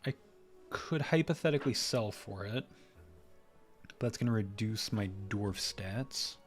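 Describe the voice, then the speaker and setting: A man's voice: on the low side, expressive, a little slow, faint music behind. A man streaming a video game, casually thinking aloud and muttering to himself between bursts of exclamation. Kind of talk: casual live gameplay commentary, thinking aloud.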